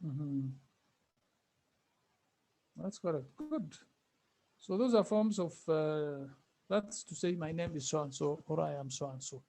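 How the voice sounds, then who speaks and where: A high-pitched man teaches a class. He repeats each phrase slowly and keeps asking his students questions. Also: creaky, warm tone, rough recording. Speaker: Arabic teacher.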